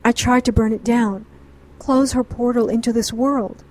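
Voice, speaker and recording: A woman's voice: fairly high pitch, steady tone, moderate pace, a little mellow, natural voice, poor audio. A woman acting a grieving, defiant occultist doctor in an audio drama, speaking with earnest, indignant intensity. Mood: defensive, anguished.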